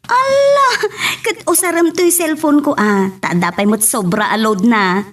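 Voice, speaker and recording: A woman's voice: sits high, measured pace, recorded from a distance. A woman acting an exuberant, chatty friend in an audio drama, with an Ilocano Filipino accent. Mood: curious. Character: exuberant, chatty female friend working as household help.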